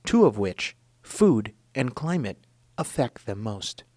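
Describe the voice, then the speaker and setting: A man reading scripted documentary narration in a measured, earnest way. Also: medium pitch, very animated, darkish tone, no room sound, clean studio sound.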